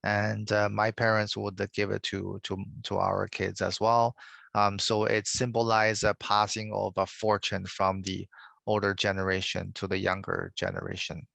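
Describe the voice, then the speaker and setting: A man giving a slide presentation over a video call, halting with many fillers. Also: lowish voice, monotone, creaky, a bit bright, dry recording. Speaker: guest presenter. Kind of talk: slide presentation on holiday traditions.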